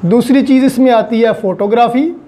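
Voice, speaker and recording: A man with a Pakistani accent speaks in a mellow, relaxed tone as a law lecturer. He explains step by step with examples, asking questions and then answering them. English terms and phrases are mixed into his delivery. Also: very high voice, moderate pace.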